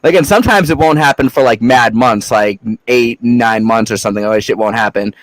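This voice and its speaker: A man's voice: mid-range voice, a little fast, no room sound, rough recording. A young adult man from Massachusetts, a podcast guest chatting casually in a loose, rambling way with frequent swearing and fillers. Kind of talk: casual chat.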